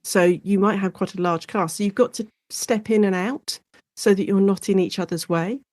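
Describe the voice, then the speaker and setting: A woman's voice: mid-range voice, normal speed, a little mellow, no echo. English woman, chatty expert, close dry sound. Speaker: guest academic expert. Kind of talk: conversational interview, explanatory.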